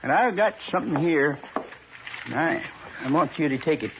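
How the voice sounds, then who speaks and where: A man's voice: fairly high pitch, lively intonation, normal speed, noisy background. An American man playing an earnest, stubbornly proud horse rancher with a folksy, insistent way of talking in a radio western. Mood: determined. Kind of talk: old-time radio drama acting. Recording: thin, phone-like old radio recording.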